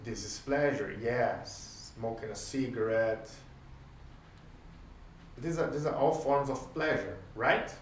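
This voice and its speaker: A man whose first language is Portuguese, musing aloud as host in a reflective solo talk, pausing often and posing questions to the listener. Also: medium pitch, a little hiss or hum. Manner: thoughtful, pauses often, rhetorical questions. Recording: roomy, distant from the mic, faint ambience.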